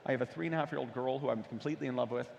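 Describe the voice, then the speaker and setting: A man's voice: medium pitch, very fast, creaky, darkish tone, highs cut off. A man from the United States speaking as a panel guest, telling his personal story earnestly and with emphasis. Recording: reverberant hall with background noise.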